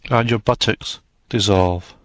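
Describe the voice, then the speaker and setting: Male meditation narrator: fairly deep, measured and soothing, unhurried phrasing, recorded dry and close. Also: steady tone, no room sound. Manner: slow, soothing, measured with long pauses. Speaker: meditation guide.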